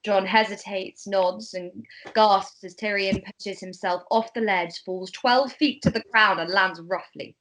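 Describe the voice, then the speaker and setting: A woman's voice: medium pitch, fairly even intonation, a little slow, few pauses, fairly bright tone, rough recording. A woman reading scripted narration and stage directions in an amateur table read.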